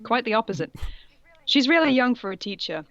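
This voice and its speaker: A woman with a fairly high voice co-hosts a comic fan-fiction reading. She narrates mock-seriously with giggly asides. The sound is dry and a little dull. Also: very animated, brisk, no echo. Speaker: co-host.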